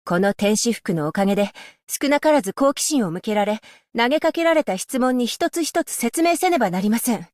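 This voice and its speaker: Woman voicing a dutiful magistrate-scholar for a game: formal, politely stern, high-ish voice, dry studio sound. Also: animated, brisk, hardly any pauses, darkish tone, dry recording, lightly acted. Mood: composed. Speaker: voice actress. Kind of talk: game character voice lines. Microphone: close studio mic, dry.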